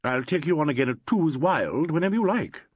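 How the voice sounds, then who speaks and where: A man voicing a smooth, oily man who croons soothingly. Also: a little high, lively intonation, a little fast, no echo, voice pushed up.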